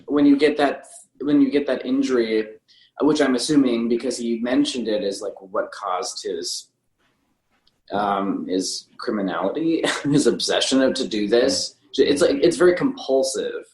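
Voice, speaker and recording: A man's voice: mid-range voice, measured pace, a little mellow. Guy on a movie podcast, kinda tentative, keeps hedging, roomy echo. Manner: tentative, self-qualifying. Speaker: podcast co-host. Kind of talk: casual film discussion.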